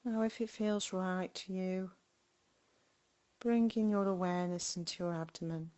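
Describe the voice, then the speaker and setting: A woman leads a guided meditation at a very slow pace. Her voice sits a little low, with a flat, even melody. She is gentle and leaves long pauses between short phrases. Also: highs cut off. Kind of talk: guided body scan meditation.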